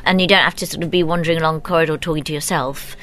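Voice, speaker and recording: A woman's voice: low-pitched, steady tone, rapid-fire, fairly bright tone. A British woman, an actress, talking thoughtfully and discursively in a behind-the-scenes interview.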